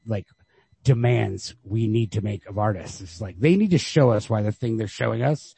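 A man's voice: fairly low pitch, normal speed, vocal fry, mellow, breathy edge, no echo. A man co-hosting a podcast, casually discussing literature and thinking aloud in circling, hedged phrases. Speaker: podcast co-host.

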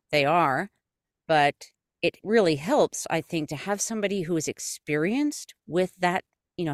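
Low-voiced woman explaining her work. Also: clean tone, no echo, studio quality. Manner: explanatory, steady, many fillers. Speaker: guest, audiobook producer. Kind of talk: casual interview chat.

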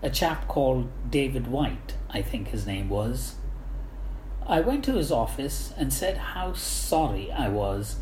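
A woman reading a humorous first-person anecdote aloud with measured, wry understatement, like a storyteller. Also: a bass voice, very animated, dark tone. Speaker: guest reader of book excerpts. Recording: roomy echo, faint background noise.

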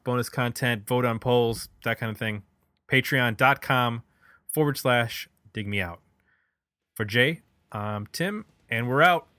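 A man's voice: medium pitch, sharp tone, no room sound, clean studio sound. A man hosting a music podcast, chatting casually as he reviews albums.

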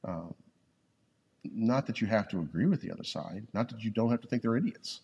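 Very deep, gravelly-voiced man. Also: lively intonation, dark tone, lo-fi sound, slight background noise. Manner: halting, restarts often, thoughtful. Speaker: podcast co-host. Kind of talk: casual conversation. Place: yoga studio, lying on the floor. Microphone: podcast mic, leaning in close.

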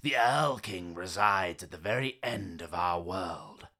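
A boastful, crumbling old stone gargoyle in an audio play. The voice is very sharp and forceful, fairly low, with big theatrical swings in pitch. The delivery is grand and declamatory. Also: male voice, lively intonation, a little slow, full character voice. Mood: grave, solemn.

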